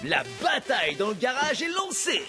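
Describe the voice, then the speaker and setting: High, lively cartoon cat showman. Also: moderate pace, loud music behind the voice, exaggerated voice. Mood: grand announcer. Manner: confident, showman-like. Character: upbeat, scheming young male cartoon cat showman. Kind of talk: animated children's series dubbing.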